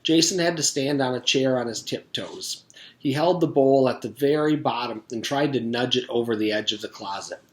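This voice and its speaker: A man reading a children's book aloud as a teacher would for pupils, with expressive storytelling. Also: medium pitch, normal speed. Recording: echoey, reverberant room.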